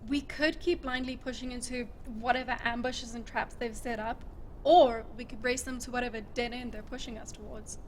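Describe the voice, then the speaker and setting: A woman's voice: fairly high pitch, fairly even intonation, moderate pace, slight background noise, natural voice. A woman playing a curt, menacing female desert guardian with a dry sarcastic manner in an audio drama. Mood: brisk, calculating.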